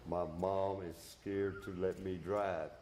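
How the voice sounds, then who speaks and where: A man's voice: deep voice, little pitch movement, takes it slowly, mellow, slight background noise. A man being deposed as a witness, giving short, hesitant answers. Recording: echoey room with music over it.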